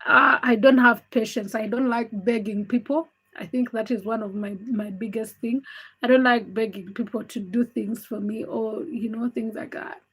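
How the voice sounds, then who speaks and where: A woman's voice: on the high side, fairly even intonation, moderate pace, a bit bright, breathy edge, low-quality recording. A young woman from Uganda, not a native English speaker, hosting a casual livestream, chatting candidly and greeting viewers by name. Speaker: livestream host. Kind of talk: casual livestream chat reading comments.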